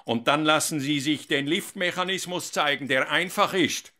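Haughty male boss, bright forceful voice, curt, condescending, radio drama. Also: a little high, normal speed. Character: brusque, haughty hotel general director. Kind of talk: German radio play acting.

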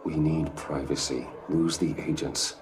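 A man acting a brooding billionaire vigilante in a video game, terse, controlled and intense, with a deep gravelly voice. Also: steady tone, measured pace. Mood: firm, pressing. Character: brooding adult male billionaire vigilante, guarded and commanding.

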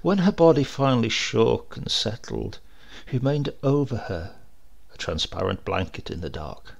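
A man reading a literary supernatural tale aloud in a measured, expressive storytelling manner. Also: medium pitch, gravelly, husky, lo-fi sound. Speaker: story reader.

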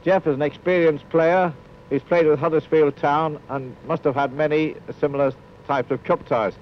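A man's voice: on the high side, steady tone, moderate pace. A British football trainer, a man, speaks stiffly to camera as if rehearsed. The recording is dull 1950s film sound with faint noise.

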